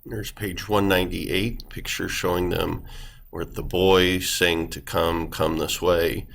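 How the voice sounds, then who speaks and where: A man giving plain, halting, unscripted commentary as he guides a read-aloud. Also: low voice, level tone, vocal fry, fairly bright tone.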